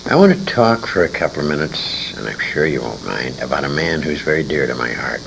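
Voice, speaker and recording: An older man reminiscing in an interview, telling anecdotes in a rambling, halting way. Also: extremely low pitch, very animated, creaky, fairly bright tone. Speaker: interviewee, radio veteran. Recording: noisy amateur 1980s convention interview recording.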